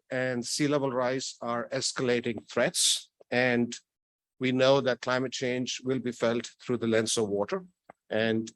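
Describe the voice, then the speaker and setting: A man from Tanzania presents at a conference in an easygoing way, joking and restarting his sentences. His voice sits in a middle pitch. The sound is close and dry. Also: no room sound. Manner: conversational with light jokes and restarts. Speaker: conference speaker. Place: conference hall.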